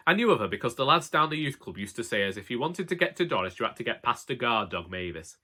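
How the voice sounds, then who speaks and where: A man's voice: mid-range voice, fast talker, exaggerated voice. A man voicing a wry, long-suffering elderly husband with a Northern English accent in a colloquial comic audiobook monologue. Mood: wry reminiscing. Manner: colloquial, wry, deadpan grumbling. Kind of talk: first-person comic monologue, audiobook narration.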